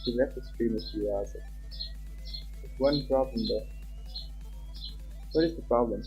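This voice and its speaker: A man's voice: mid-range voice, normal speed, muffled recording, music in the background. A man streaming live, chatting casually with viewers and talking to himself as he fiddles with his stream settings.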